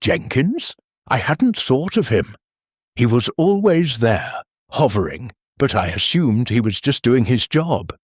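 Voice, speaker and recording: A man's voice: mid-range voice, big pitch swings, unhurried, slightly rough, no echo, studio quality. A man voicing an anxious, wronged woman in a storybook mystery, earnest, questioning and easily shocked. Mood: puzzled.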